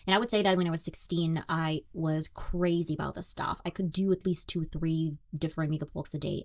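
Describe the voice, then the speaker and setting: A young woman from Russia speaks English with a Russian accent, Russian being her native tongue. Her voice sits a little low. She talks openly and reflectively, now and then poking fun at herself. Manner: candid, reflective, self-deprecating. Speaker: podcast host. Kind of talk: solo podcast monologue.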